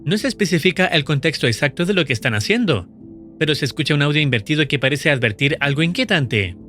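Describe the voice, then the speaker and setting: Guy doing a scripted recap voice-over, pitch bouncing up and down a lot, faint music under it. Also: animated, fast talker. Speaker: video narrator explaining a horror series. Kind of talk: scripted explanatory narration.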